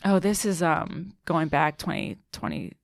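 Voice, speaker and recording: A woman's voice: deep voice, measured pace, vocal fry, no echo, studio quality. A woman in her late twenties, a comedian guest on a podcast, telling stories in casual chat and acting out quotes, with lots of "like". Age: 20s. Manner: animated storyteller, acts out quotes, many "like"s.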